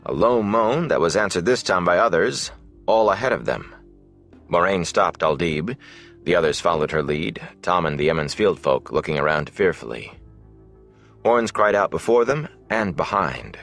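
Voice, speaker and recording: Low male audiobook narrator, scripted fantasy story, faint music under him. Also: expressive, normal speed, fairly bright tone.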